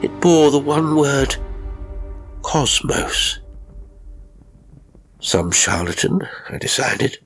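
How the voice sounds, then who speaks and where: A man narrating a first-person strange story from a script, with dramatic, expressive delivery and deliberate pauses. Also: medium pitch, faint music behind. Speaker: audiobook narrator of strange stories.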